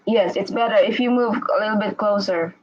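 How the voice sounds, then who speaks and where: A woman chatting casually and excitedly as the host of a live online interview, over a video call. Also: mid-range voice, brisk, lo-fi sound, slight background noise. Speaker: host interviewing a guest on a livestream.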